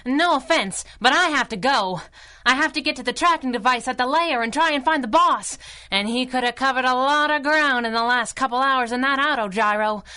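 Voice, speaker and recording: A bright, high-voiced plucky young heroine. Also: female voice, a little fast, smooth. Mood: anxious, determined. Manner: slangy, spirited, exclamatory. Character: plucky young masked heroine sidekick, eager and brisk. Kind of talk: 1930s-style radio drama acting.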